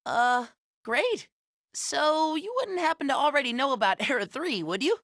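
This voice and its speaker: A friendly young boy hero from a cartoon game. The voice is high and bright, casual and good-natured, with an earnest, slightly awkward charm. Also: animated, normal speed, dry recording, clean studio sound. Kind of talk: animated game cutscene acting.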